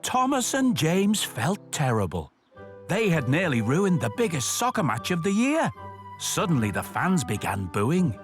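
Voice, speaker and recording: Male kids' storyteller: high-pitched, hugely animated, clear expressive delivery for little ones. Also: measured pace, soft background music. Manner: expressive, clearly enunciated for young children. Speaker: children's storyteller and presenter. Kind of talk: children's storytelling and presenting.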